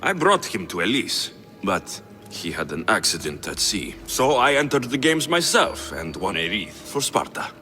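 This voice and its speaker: An actor playing a bold, confident young Greek mercenary in a video game, speaking assertively and dramatically. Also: male voice, lowish voice, very animated, moderate pace, bright, forceful tone, faint music behind. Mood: proud, challenging. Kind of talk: video game cutscene acting.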